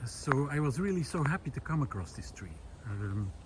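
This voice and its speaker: A man talking unscripted and reflectively to camera outdoors in a wooded park, pausing often mid-sentence between short phrases. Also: medium pitch, big pitch swings. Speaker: vlogger. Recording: clear outdoor background noise.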